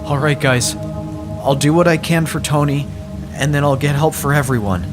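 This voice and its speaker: A man's voice: a little high, moderate pace, over music, voice pushed up, close to an everyday voice. A man playing an earnest older teenage scout taking charge in a horror story, urgent and reassuring.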